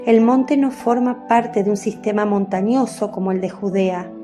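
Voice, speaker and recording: A woman giving a measured, descriptive scripted narration as the narrator of a radio drama. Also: mid-range voice, fairly even intonation. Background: faint music.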